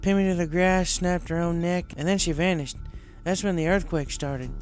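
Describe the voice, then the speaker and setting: A man takes on a brash, loyal young mare from a storybook. The voice is pushed up in pitch, with music under it. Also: steady tone, normal speed, lightly acted, low-quality recording. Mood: troubled, serious.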